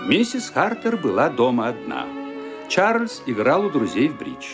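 A man narrating a literary radio drama in an expressive storytelling manner, building suspense. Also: mid-range voice, a little slow. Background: violin music.

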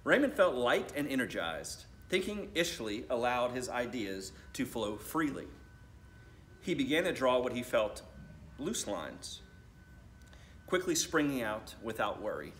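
An American man in his thirties reads to children as a school principal. His mid-range voice moves up and down in a lively way, with deliberate phrasing. There is faint noise in the room around him. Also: medium pitch. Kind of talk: storybook read-aloud. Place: school library, indoors.

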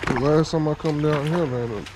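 A man's voice: fairly high pitch, moderate pace, background noise. A man fishing at a small creek under a bridge, giving casual running commentary to himself on a head-mounted camera. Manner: relaxed, muttering to himself. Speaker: fishing vlogger. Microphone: head-mounted action camera.

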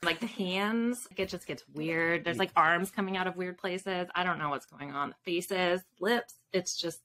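Woman, 30s, author guest. Forceful bright tone, slightly low, conversational with asides. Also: a little slow. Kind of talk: casual interview chat. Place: home room with bookshelves, indoors. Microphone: video call.

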